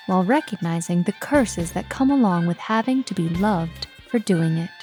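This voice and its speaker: A woman's voice: medium pitch, darkish tone, lightly acted. Woman as wry vintage operator narrator over music. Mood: wistful. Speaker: host narrator. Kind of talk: scripted dramatic monologue, vintage anthology narration.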